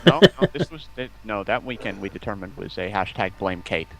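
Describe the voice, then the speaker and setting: A man talking casually as a livestream guest, in a halting, stop-start way. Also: lowish voice, lively intonation, creaky, poor audio. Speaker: guest, community manager. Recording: faint background noise.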